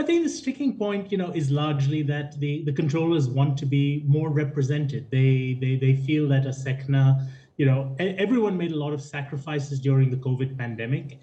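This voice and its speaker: A man speaking as an expert guest on the news, explaining off the cuff in a measured, hesitant way with repeated words. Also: medium pitch, warm tone. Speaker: aviation consultant, interview guest. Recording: dull, lo-fi remote link.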